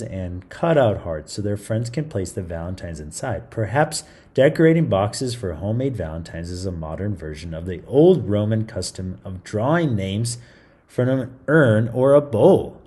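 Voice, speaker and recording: A man's voice: lowish voice, lively intonation, a little slow, mellow, husky. A man reading a story aloud to children in a theatrical, playful style, with chatty asides. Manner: theatrical, playful, interjecting comments to young listeners.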